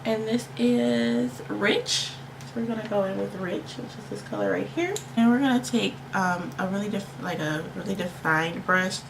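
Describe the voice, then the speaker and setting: A woman vlogger talks casually to her viewers in a playful, slangy way. Her voice is congested and a bit tired, sitting around a middle pitch with plenty of fry. Clear background noise runs through the recording. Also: normal speed, hardly any pauses. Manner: playful, slangy, emphatic repetition. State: tired, under the weather with allergies. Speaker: beauty vlogger and makeup tutorial host. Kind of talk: casual chatty makeup tutorial to camera. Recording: clearly noisy home recording.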